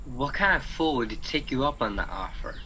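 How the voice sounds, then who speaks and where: Earnest, bright-voiced guardian angel played by a man. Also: mid-range voice, lively intonation, moderate pace, slight background noise, rough recording. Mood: wary, defiant. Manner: hesitant, earnest. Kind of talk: radio play acting.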